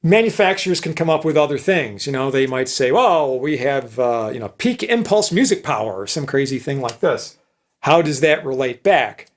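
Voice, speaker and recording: A man's voice: mid-range voice, animated, moderate pace. A man giving an informal technical lecture in a conversational, explanatory manner, often checking understanding with short tag questions. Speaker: electronics professor.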